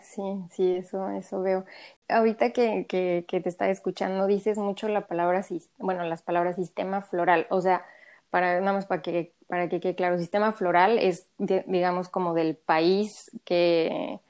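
A woman with a Mexican accent conducts a casual interview. Her voice is slightly low and stays quite flat in pitch. She feels out her questions a little hesitantly as she speaks. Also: moderate pace. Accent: Mexican Spanish.

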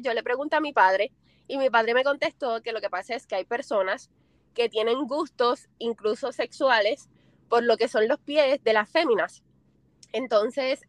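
A young Puerto Rican woman whose higher voice seems to carry a smile. She answers an interviewer casually and laughs easily. The sound is close and dry, with no room in it. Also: no room sound. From Puerto Rico. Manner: talks with a smile, laughs often. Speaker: interview guest.

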